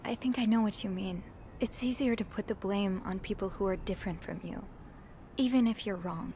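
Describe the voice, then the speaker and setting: Hesitant young hotel maid played by an actress. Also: female voice, mid-range voice, mellow, a little hiss or hum, close to an everyday voice. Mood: earnest, gentle. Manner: hesitant, halting. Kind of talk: naturalistic video game acting.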